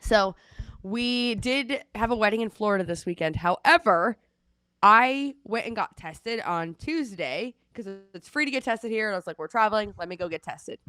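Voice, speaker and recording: A woman in her thirties, congested and losing her voice, chatting at home and telling a lively story over a video call. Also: mid-range voice, moderate pace, no room sound. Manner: chatty storytelling, animated retelling. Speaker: co-host. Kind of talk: casual chat. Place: at home in Seattle.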